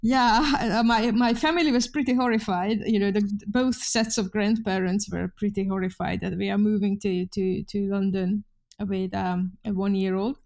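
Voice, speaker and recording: A woman's voice: medium pitch, fairly even intonation, normal speed, a little mellow. A Hungarian woman in her fifties with a Hungarian accent, a journalist telling her story as a podcast guest in loose conversational speech. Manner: conversational, many restarts, frequent laughter. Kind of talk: podcast interview.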